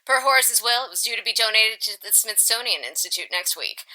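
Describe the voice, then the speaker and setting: A woman playing a brisk, sardonic insurance company caller in the snappy style of a 1940s radio detective show. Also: a little high, sharp tone, poor audio. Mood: snappy, businesslike. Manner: snappy, slangy, no-nonsense.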